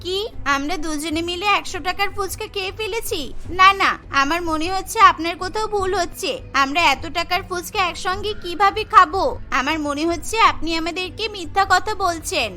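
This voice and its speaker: A woman's voice: extremely high pitch, brisk, pushed and bright, faint music behind. A woman voicing a stubborn, cheeky little schoolgirl who pleads and insists in a cartoon story. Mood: protesting. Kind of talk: Bengali cartoon story voice acting.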